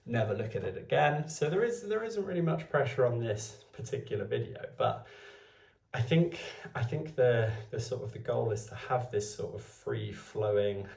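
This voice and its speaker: Guy in his 20s, gravelly low voice, just rambling thoughts to his phone, roomy echo. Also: expressive, moderate pace, darkish tone, recorded from a distance. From Wales. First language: Welsh. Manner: meandering, thinking aloud. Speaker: vlogger. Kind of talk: unscripted musing to camera.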